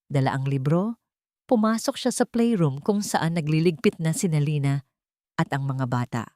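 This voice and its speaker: A woman narrates a dramatic story from a script. Her voice sits low and mellow, with very little room sound around it. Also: moderate pace, dry recording, studio quality.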